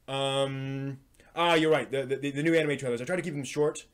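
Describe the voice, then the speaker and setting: Man hosting a casual, halting live stream. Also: mid-range voice, steady tone.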